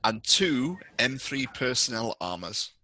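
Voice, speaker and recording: A man's voice: mid-range voice, sing-song, a little slow, bright, forceful tone, poor audio. A man acting as game master, narrating a tabletop roleplaying game in conversation with players.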